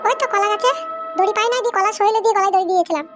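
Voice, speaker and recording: A woman's voice: very high-pitched, racing, music in the background. A woman voicing a chatty young female ghost in a comic cartoon, plaintive and cheeky as she complains.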